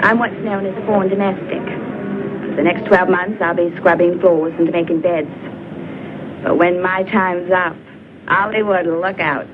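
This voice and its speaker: An actress playing a hopeful, chatty young domestic servant in a 1960s B-movie. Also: female voice, on the low side, fairly even intonation, normal speed, natural voice. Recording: muffled noisy old film soundtrack, vehicle sounds.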